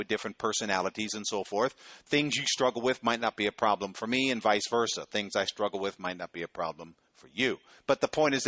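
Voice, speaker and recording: Middle-aged male pastor, bright voice, dry sound, conversational sermon with frequent check-ins. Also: lowish voice, no room sound.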